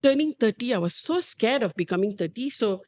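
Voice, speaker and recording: A woman's voice: on the high side, animated, a little fast, a little mellow, no echo. A woman in her forties speaking as a guest, reflective and frank, with lots of "like". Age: 40s. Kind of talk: candid conversation.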